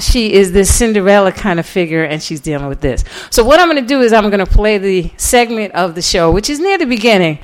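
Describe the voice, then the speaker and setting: A woman giving an informal lecture, telling stories in a halting way with deliberate emphasis. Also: medium pitch. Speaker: lecturer and author.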